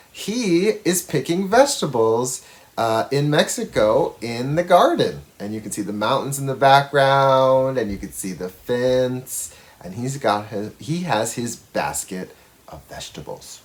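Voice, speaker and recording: A man with a medium-pitched voice reads a storybook to schoolchildren. His delivery is expressive, with wide melodic swings. He moves between narration and explanatory asides or questions for young listeners. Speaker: educator reading a picture book to schoolchildren.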